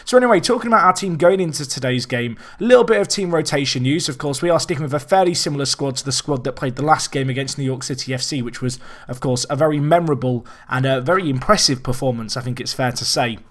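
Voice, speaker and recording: Slightly hoarse young man commentating a game. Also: medium pitch, fast, few pauses. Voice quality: slightly raspy. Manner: chatty, rambling with restarts, rising excitement at chances. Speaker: let's play host. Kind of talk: casual gameplay commentary.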